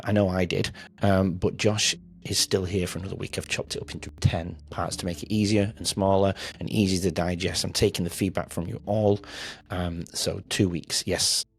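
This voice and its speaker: A man's voice: deep voice, vocal fry, husky. A man hosting a podcast, giving a casual spoken introduction to listeners. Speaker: podcast host.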